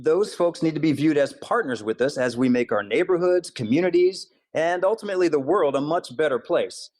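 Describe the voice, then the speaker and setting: A man from Hawaii, an author and school counselor, answering interview questions in an earnest, steady way. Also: fairly high pitch, fairly quick.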